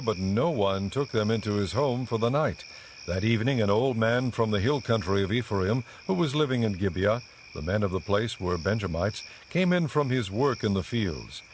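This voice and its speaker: A man giving measured, solemn scripted narration for a dramatized Bible audiobook. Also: on the low side, animated, slight background noise.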